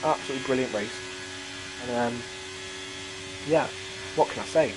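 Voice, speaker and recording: Hoarse, excitable race commentator. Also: medium pitch, normal speed, background noise. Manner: excitable, builds up during close battles; talks to viewers as "guys". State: hoarse, losing voice. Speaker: sim racing commentator.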